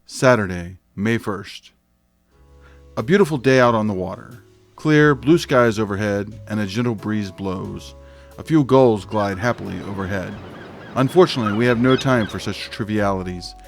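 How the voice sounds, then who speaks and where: An actor playing a clueless, quirky dad detective, narrating in an earnest, scene-setting way for a comic audio drama. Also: male voice, fairly low pitch, normal speed, creaky. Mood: wry narration. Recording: faint music under the voice.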